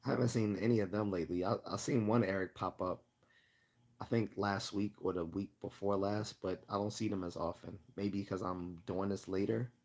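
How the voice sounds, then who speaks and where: Guy with a really low voice doing a chatty live q&a, kinda hoarse, clearing his throat a lot. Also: fairly even intonation, normal speed, darkish tone. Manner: rambling, chatty, laughs often. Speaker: livestream host. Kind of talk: casual livestream Q&A.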